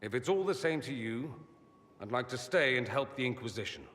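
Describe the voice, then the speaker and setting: An actor playing a witty, vain, sardonic mage in a fantasy video game, with theatrical, flippant delivery. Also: male voice, medium pitch, expressive, a little fast, fairly bright tone, lightly acted. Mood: charming, wry. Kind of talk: video game character dialogue. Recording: long hall reverb.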